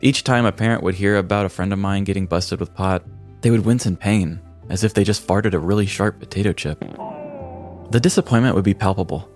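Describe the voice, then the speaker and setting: A man's voice: low voice, fairly quick, darkish tone, faint music behind. An American man co-hosting a comedy podcast, telling stories with wry, dry humor. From American Midwest. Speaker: podcast co-host. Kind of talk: casual comic podcast talk and scripted storytelling.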